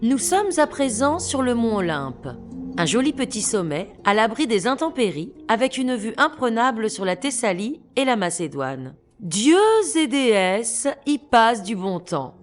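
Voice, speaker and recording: Woman narrator, wry and teasing, lively pitch, faint music behind. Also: measured pace. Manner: wry, lightly mocking. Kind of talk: scripted comic narration.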